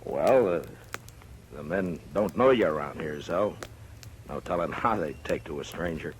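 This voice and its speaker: An American man acting a steady, weary frontier marshal in a 1950s radio western, measured, earnest and understated. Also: low-pitched. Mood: concerned, firm. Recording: noisy vintage 1950s radio recording.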